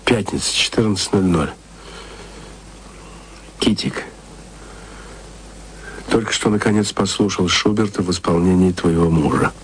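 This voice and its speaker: A literate man musing tenderly to his beloved in a radio play. His voice is low and he takes his time, drawing phrases out theatrically. A faint hiss sits behind him. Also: low-quality recording. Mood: confiding, wistful. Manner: slow, theatrical, drawn-out phrasing. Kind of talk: intimate radio-play monologue with recited verse.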